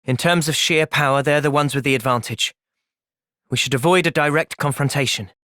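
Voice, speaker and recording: An actor playing an earnest, thoughtful young male hero in a fantasy video game, speaking sincerely and clearly. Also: fairly high pitch, a little fast, bright, forceful tone, no room sound, clean studio sound. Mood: calm, measured. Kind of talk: video game cutscene voice acting.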